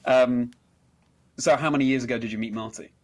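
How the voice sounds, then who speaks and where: A man with a mid-pitched voice works as an interview host. He phrases things formally and eloquently, in a polished hosting style. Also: steady tone, brisk.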